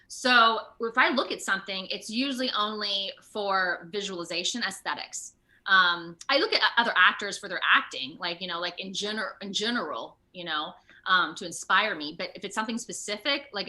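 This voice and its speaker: An American woman chatting casually as an interview guest, telling stories in an animated way. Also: mid-range voice, fairly quick. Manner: animated storytelling, laughs often, acts out moments. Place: remote video call.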